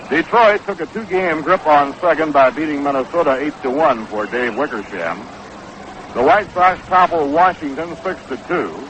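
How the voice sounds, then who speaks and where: An American man calling baseball play-by-play in 1960s radio style, with a measured, unhurried, factual delivery. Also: on the high side, fairly bright tone. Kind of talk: 1960s live radio sports commentary. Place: ballpark broadcast booth. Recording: dull, noisy 1960s AM radio broadcast.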